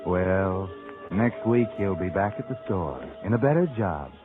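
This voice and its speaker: An actor playing a sardonic, knowing narrator of suspense tales in 1940s radio style, confiding and teasing dramatically. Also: male voice, low-pitched, lively intonation, unhurried. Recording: muffled vintage radio recording over loud music.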